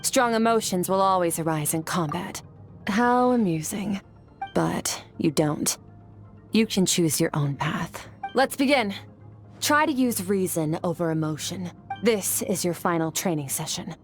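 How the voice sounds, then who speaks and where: Low-leaning, cool sword mentor. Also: female voice, animated, faint music behind. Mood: stern, aloof. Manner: measured, aloof, instructing. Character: cool, composed female sword master, stern mentor. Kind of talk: video game character acting.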